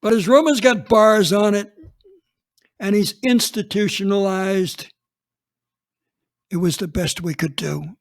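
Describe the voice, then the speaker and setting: A man's voice: high-pitched, measured pace, pauses often. An actor playing a blunt, unapologetic retired police chief in his eighties, recounting a case in a radio drama. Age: 80s. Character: gruff old male retired police chief.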